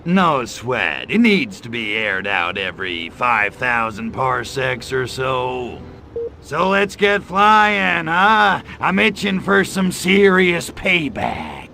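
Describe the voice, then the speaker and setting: A man's voice: mid-range voice, very animated, slow pace, vocal fry, bright, forceful tone, a little hiss or hum, full character voice. A man playing a cocky, wisecracking little alien invader in a video game, with a sardonic tough-guy manner. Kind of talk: video game cutscene acting.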